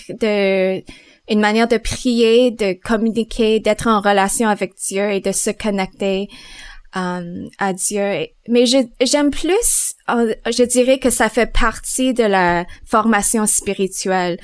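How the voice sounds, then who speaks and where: A woman's voice: mid-range voice, takes it slowly, smooth, no room sound. A woman, a mother at home, answering interview questions on faith thoughtfully and hesitantly. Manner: hesitant, searching for words, soft laughs.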